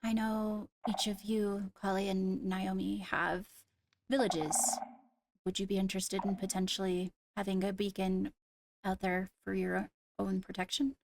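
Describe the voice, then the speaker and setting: Creaky-voiced woman playing a poised royal ruler. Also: mid-range voice, little pitch movement, slight background noise. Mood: composed, businesslike. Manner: measured, formal, hesitant between phrases. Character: poised female royal ruler addressing her court. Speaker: leader of the meeting. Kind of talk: improvised Minecraft roleplay.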